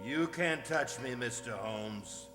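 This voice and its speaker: A man's voice: medium pitch, lively intonation, slow pace. A man playing a crazed, power-mad village bell-ringer villain in a radio mystery, ranting theatrically, defiant and desperate. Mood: gloating defiance. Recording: echoey hall, music behind.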